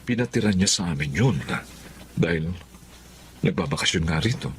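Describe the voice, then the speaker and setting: A man's voice: low voice, expressive, moderate pace. A man playing a loyal, uneasy husband in a Filipino drama, speaking in an earnest, conversational way. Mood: troubled. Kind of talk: Filipino radio-style drama acting. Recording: faint background noise.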